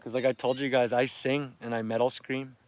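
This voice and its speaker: A man's voice: medium pitch, level tone, fairly quick, a little mellow, a little hiss or hum. A tired Canadian man in his thirties, chatting casually to livestream viewers by a lake at dawn and keeping his voice down, with frequent laughs. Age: 30s. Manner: chatty, laughs often, keeps voice down. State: tired from little sleep. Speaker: fishing livestreamer. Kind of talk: casual live chat to viewers. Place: outdoors by a lake near a campsite at dawn. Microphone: phone livestream.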